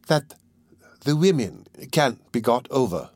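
A man voicing a crafty old criminal in an audiobook, with an oily, coaxing manner and drawn-out phrases. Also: medium pitch, sing-song, a little mellow, no room sound, studio quality. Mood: incredulous, coaxing. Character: wheedling, crafty old male criminal schemer. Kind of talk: audiobook character voice.

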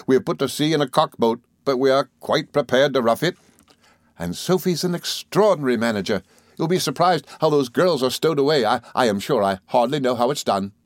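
Man voicing an earnest, stammering young gentleman lawyer. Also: medium pitch, a little fast, no echo, clean studio sound, voice pushed up, close to an everyday voice. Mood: bashful delight. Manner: hesitant, stammering, effusively polite. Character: earnest, cheerful, modest young male lawyer, eagerly confiding. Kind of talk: audiobook character dialogue.

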